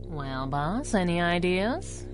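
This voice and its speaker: A woman's voice: fairly low pitch, very animated, slow, soft background music. A woman playing a brash, sassy masked crime-fighting sidekick in a 1930s-style radio drama, with slangy delivery. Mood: wry.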